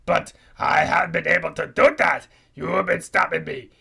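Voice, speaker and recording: A man voicing a gloating old puppet banker, blunt and boastful, with a raspy, gravelly voice in a comic radio play. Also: on the high side, moderate pace, sharp tone, exaggerated voice. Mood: gloating menace. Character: gloating old monster banker, male, a wild puppet tycoon.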